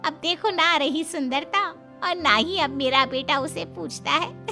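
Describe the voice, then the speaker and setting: A woman's voice: high voice, unhurried, bright, forceful tone, faint music behind, heavily acted. A woman voicing a wicked, meddling family friend in a cartoon, brazen and gloating in manner. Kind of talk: acted dialogue in a moral story cartoon.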